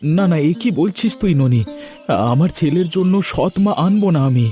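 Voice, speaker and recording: A man voicing a widowed village father who is gentle and grieving, soft-spoken and hesitant. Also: high voice, expressive, moderate pace. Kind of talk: Bengali cartoon dubbing. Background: background music.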